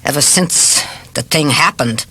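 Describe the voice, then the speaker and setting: An apologetic refrigerator repairman in an audiobook, voiced by a woman in her sixties. He talks in a plain working-class way, nervous and miserable. The voice sits fairly low. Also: a little slow, pushed and bright, slightly rough, exaggerated voice. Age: 60s. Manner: colloquial, uneasy, miserable. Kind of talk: audiobook character voice.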